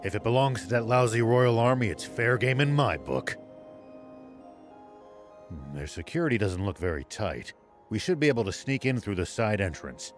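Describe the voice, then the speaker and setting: An actor playing a stern, shrewd old sheriff in a video game, speaking in a measured, musing way. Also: male voice, on the low side, sing-song, faint music behind. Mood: confident, commanding. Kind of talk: video game cutscene acting.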